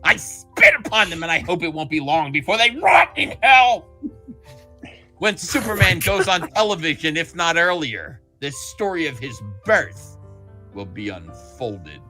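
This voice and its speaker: Animated, bright-voiced theatrical man. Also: fairly high pitch, a little slow, soft background music. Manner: theatrical, mocking. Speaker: host of a comics talk livestream. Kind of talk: dramatic letter reading and casual group chat. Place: online group call.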